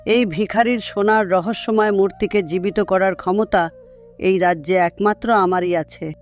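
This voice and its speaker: An old woman of a cartoon fairy tale, played by a man, with a high voice. She is determined and assured yet respectful, and music plays softly behind her. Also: steady tone, normal speed, close to an everyday voice. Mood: confident, solemn. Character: confident, determined old woman before a king.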